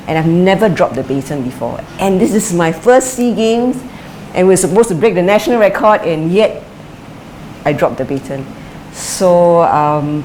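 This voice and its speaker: Interview subject, middle-aged woman, lowish voice, measured and reflective, faint hiss behind. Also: darkish tone, low-quality recording. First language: English is her first language; Chinese is her second. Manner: thoughtful, with frequent fillers and restarts. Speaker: interviewee, former sprinter and coach. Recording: light background noise.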